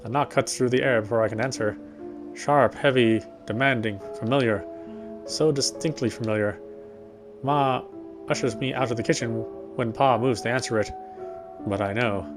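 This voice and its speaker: Man reading dramatically over loud music. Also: medium pitch, normal speed. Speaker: let's player reading a visual novel aloud. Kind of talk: dramatic read-aloud narration of a horror game story.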